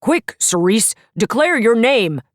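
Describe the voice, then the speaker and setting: A woman voicing a kindly male bear professor who speaks slowly and loudly. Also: medium pitch, sing-song, no echo, clean studio sound.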